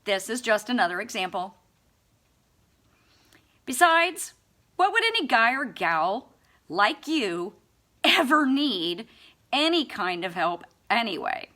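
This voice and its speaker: A woman's voice: on the high side, big pitch swings, slow, pauses often, bright, forceful tone. A woman reading a book aloud expressively, with a sarcastic, mock-earnest and theatrical delivery. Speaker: amateur audiobook reader.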